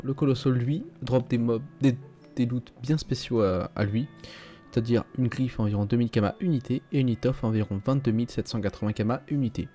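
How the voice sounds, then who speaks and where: Casual young male gaming host, warm low-key delivery, chatty. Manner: relaxed, chatty, with fillers. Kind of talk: casual gameplay commentary. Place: home gaming setup.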